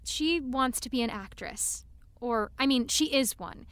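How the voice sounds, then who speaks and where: Nervous, high-voiced teenage girl. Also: unhurried, fairly bright tone, no echo. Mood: hurt, confessional. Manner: hesitant, rambling, anxious. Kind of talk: naturalistic audio drama acting.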